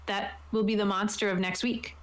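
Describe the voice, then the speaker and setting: Casual female game host, medium pitch, informal and upbeat, explaining rules over online voice chat. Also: fairly even intonation, moderate pace. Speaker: host and game master of a tabletop roleplaying stream. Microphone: close desktop mic on an online voice chat.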